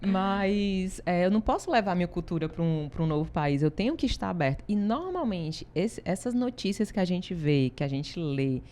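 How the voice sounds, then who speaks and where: A woman from Ceará, Brazil, speaking with a Northeastern Brazilian accent as an interview guest, telling stories in an animated way, laughing often and asking "né?" a lot. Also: lowish voice, normal speed, few pauses. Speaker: interview guest, consultancy founder. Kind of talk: casual interview talk.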